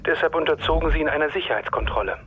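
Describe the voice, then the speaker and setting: A man's voice: on the high side, racing, natural voice. Actor as a terse, weary father, dull sound. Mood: bitter, ironic. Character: weary, bitter Palestinian father, guarded and terse. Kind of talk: German radio drama acting.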